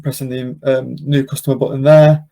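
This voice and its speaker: A man leads a software demonstration in an informal, step-by-step way. His voice is relaxed and mellow, with very little melodic movement and some gravelly fry. He explains things off the cuff, often pausing on an um. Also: mid-range voice. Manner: explanatory, unscripted and hesitant, with frequent ums. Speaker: presenter of a software demonstration webinar. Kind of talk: informal step-by-step screen-share tutorial.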